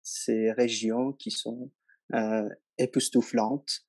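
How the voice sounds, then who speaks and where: Iranian guy, tour guide, talks real slow with lots of ums, dry sound. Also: medium pitch, level tone, a little mellow, dry recording. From Iran. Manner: slow, hesitant, many fillers. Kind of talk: webinar presentation.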